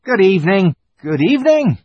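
A man's voice: high-pitched, very animated, normal speed, darkish tone, husky, dry recording, dull audio, pitched above the performer's own voice, heavily acted. A man voicing a polite, fussy, eager fawn creature in a storybook, with formal, flustered courtesy.

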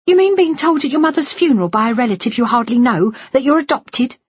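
A woman plays a young adopted daughter in a dramatised audiobook. She sounds wounded, cold and defiant, pitched well up and throwing accusations. The recording is slightly muffled. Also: lively intonation, fast talker, slightly rough, dry recording. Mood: hurt, bitter.

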